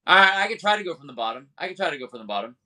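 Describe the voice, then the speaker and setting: Dude on his cell phone for a podcast, kinda high bright voice, keeps stalling and restarting. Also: big pitch swings. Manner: hesitant, halting, restarts. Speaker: podcast co-host. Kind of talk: casual podcast chat.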